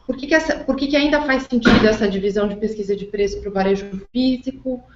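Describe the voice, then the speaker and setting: Halting Brazilian woman on an echoey call. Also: a little high, slight background noise. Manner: halting, repeats words. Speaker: moderator asking a question. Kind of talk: spontaneous questioning on a conference call. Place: conference call. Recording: echoey, dull conference call audio.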